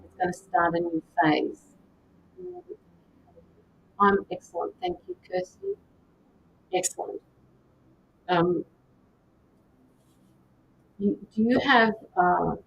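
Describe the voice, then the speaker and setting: A woman doing live tarot and mediumship readings, speaking gently to viewers by name with frequent hesitations. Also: vocal fry. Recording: slightly dull lo-fi sound with faint rumble.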